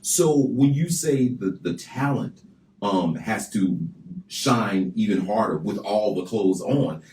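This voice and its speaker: A man's voice: on the low side, lively intonation, takes it slowly, darkish tone, echoey room, a little hiss or hum, poor audio. A man hosting a radio interview, with a big voice and an emphatic, conversational way of talking, in a radio studio. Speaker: radio host.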